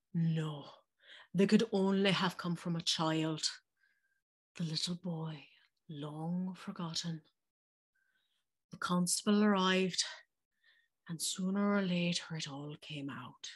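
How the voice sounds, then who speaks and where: An actress playing an older housekeeper telling her eerie story in an audio drama, hushed and reflective. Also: low-pitched, husky, exaggerated voice.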